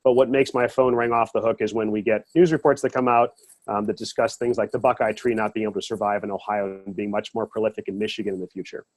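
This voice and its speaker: A man with a mid-range voice talks quickly through a webinar presentation. He is getting over a cold, and the sound is close and dry. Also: lowish voice, fairly even intonation, dry recording. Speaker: education and outreach director. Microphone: video call.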